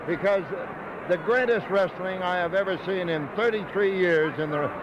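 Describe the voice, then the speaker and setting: A middle-aged man speaks in a high-pitched voice as a wrestling insider being interviewed. He is emphatic and hyperbolic, stumbling now and then. The old radio recording is dull, with clear noise behind him. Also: moderate pace. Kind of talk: excited on-the-spot interview.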